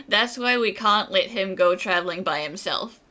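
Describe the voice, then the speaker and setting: A woman's voice: medium pitch, expressive, unhurried, very noisy. A woman streamer giving casual, playful and sarcastic reactions while playing a game. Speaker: gaming streamer. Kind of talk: casual reaction commentary over gameplay.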